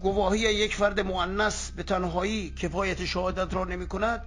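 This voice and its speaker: An actor playing a stern court official reading a cold ruling in a formal, legalistic way in an audio drama. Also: male voice, sits high, steady tone, fairly bright tone, faint music behind.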